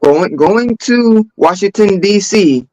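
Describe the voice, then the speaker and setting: An American man in a casual, opinionated panel discussion, making his points deliberately and insistently, repeating words for stress. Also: high-pitched, animated, darkish tone, dry recording, low-quality recording. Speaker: panelist on a talk show.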